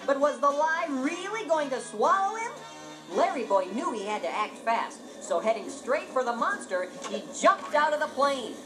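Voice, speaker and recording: A woman reading a scripted children's story as narrator, expressive and dramatic. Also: high voice, normal speed, rough recording. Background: music.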